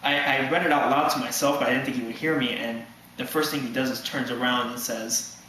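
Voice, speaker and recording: A nineteen-year-old man casually telling a deadpan mock documentary story. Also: medium pitch, brisk. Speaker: student interviewee. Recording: echoey room with faint noise.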